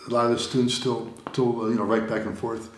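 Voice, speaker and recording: A man's voice: medium pitch, flat delivery, fast, echoey room, rough recording. A middle-aged American man, an interview guest, talking in a casual, rambling way with repeated words and many fillers. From United States. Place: recording studio room.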